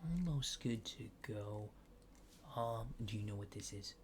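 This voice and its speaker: A man doing a soft-spoken ASMR roleplay, hushed and often near a whisper, with hesitant, polite, clinical phrasing. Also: lowish voice, measured pace, a little hiss or hum. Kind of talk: soft-spoken ASMR roleplay as an alien abductor examining a subject.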